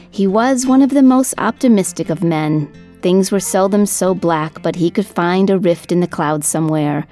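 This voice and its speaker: A woman reading scripted storytelling narration for a folk history podcast in a measured, literate manner. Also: on the low side, mellow. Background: music under the voice.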